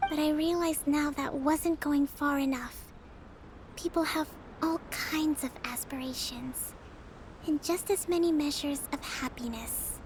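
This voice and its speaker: A woman voicing a young female divine priestess who leads an army, composed and authoritative in a measured way. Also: sits high, steady tone, noisy background. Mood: soft reflective. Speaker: commander. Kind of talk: video game voice acting. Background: game music.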